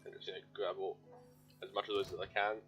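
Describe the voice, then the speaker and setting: A man's voice: low voice, level tone, fast, fairly bright tone, slightly rough, faint music behind. A man livestreaming a game, giving casual commentary as he plays. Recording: dull lo-fi mic.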